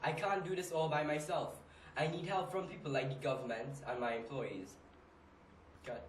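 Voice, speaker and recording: A man's voice: a little high, steady tone, moderate pace, close to an everyday voice. Trinidadian businessman, played by a man, fed up and emphatic, dramatic monologue, roomy distant sound with faint noise. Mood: thoughtful, hopeful. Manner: emphatic, exasperated, with rhetorical questions. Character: frustrated, weary businessman fed up with robberies. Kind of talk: dramatic monologue, amateur stage acting. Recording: echoey room, distant mic, faint noise.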